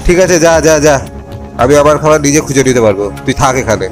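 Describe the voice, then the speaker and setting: A stubborn ox from a puppet story, acted by a man, sulky and dismissive. He speaks quickly at a medium male pitch with faint music behind. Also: animated, close to an everyday voice. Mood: huffy.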